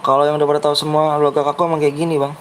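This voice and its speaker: A man's voice: a little high, level tone, very fast, slight background noise. A young man with a Betawi accent, live-streaming a game and chatting with his viewers in a blunt, brash way that he says sounds harsh, while he keeps urging them to like and subscribe. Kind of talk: live gaming stream chat.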